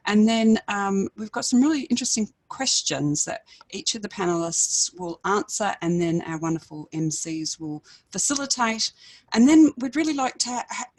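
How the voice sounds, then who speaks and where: An Australian woman with a croaky voice, introducing a panel on a webinar call in a rambling way, with many fillers and restarts. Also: fairly low pitch. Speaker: panelist from an ePortfolio group. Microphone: video call.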